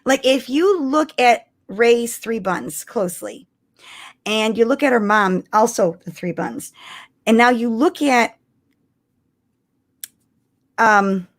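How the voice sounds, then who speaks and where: A woman co-hosting a casual fan discussion, telling things in an animated way and often checking in with the listener. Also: on the high side, slow pace, pauses often. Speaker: podcast co-host.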